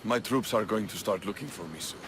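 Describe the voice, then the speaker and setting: A man playing a proud, heartfelt young Spartan soldier, earnest and expressive, in a video game cutscene. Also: fairly low pitch, a little fast, background noise, natural voice. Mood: tender farewell.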